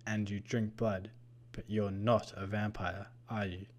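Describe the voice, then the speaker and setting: A young vampire's assistant from an adventure tale, acted by a man narrating. He is defiant and indignant, throwing out his words in a forceful snarl. He sounds brave and ready to challenge anyone. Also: fairly low pitch, little pitch movement, unhurried. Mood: wary, defiant.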